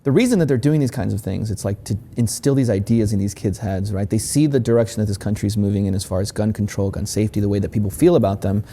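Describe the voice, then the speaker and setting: A man's voice: fairly low pitch, fast, hardly any pauses, warm tone, a little hiss or hum. A man co-hosting a casual opinion chat, sarcastic, mocking the other side by quoting it in a put-on voice. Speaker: co-host.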